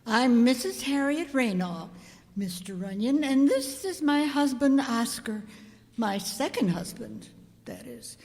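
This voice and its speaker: Casting: prim, wordy suburban wife played by a woman, high animated voice, radio mystery style, reverberant hall. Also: slow, exaggerated voice. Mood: prim, insistent. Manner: prim, wordy, talks over her husband. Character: married suburban matron, genteel, insistent, credulous about seances. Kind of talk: old-time radio detective drama acting.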